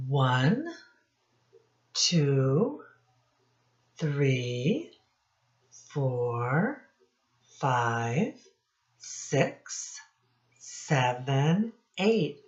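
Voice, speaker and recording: A woman teacher speaking deliberately to young children in a read-aloud lesson, addressing them directly with questions. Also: rumbling low, very animated, a bit bright. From United States. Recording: dull lo-fi sound.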